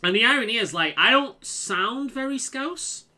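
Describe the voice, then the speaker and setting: Casual game streamer, man, mild Liverpool accent, lively stammering delivery. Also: extremely high pitch, moderate pace. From England. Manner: repeats words, stammers, restarts sentences. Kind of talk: casual chat while playing.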